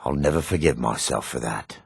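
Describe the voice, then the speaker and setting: A gruff dark elf warrior in a fantasy video game. His voice is gravelly and husky, recorded very dry and close. He is proud and combative, with a theatrical delivery. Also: rumbling low, fairly quick, dry recording, exaggerated voice. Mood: remorseful, reflective. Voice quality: gravelly, rasping.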